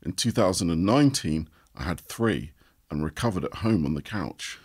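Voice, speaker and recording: Expressive, slightly husky male narrator. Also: low-pitched, moderate pace. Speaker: audio article reader. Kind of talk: scripted narration of a first-person essay.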